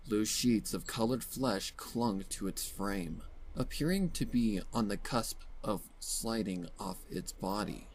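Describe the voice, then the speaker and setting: Man, low voice, dramatic horror story narration, clear background noise. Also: slow pace, rough recording.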